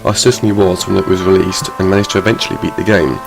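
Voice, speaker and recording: Deep, gravelly male narrator, measured review read over loud music. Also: level tone, poor audio. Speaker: game reviewer.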